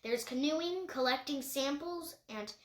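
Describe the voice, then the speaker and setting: A woman's voice: high voice, unhurried, fairly bright tone. A woman voicing an impulsive, guarded thirteen-year-old boy in a storybook, with a blunt, urging manner. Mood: eager, urging. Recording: echoey room.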